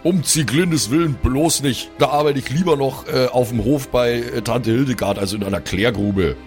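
A man's voice: medium pitch, normal speed, soft background music, lightly acted. A man playing a put-upon, bookish grown son who is a devout fantasy cleric, earnest, whiny and self-justifying, in an improvised audio play. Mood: reluctant.

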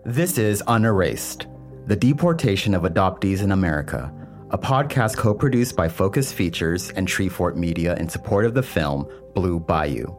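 Male documentary host with a very deep voice, measured earnest read over soft music. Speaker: podcast host. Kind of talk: scripted narration.

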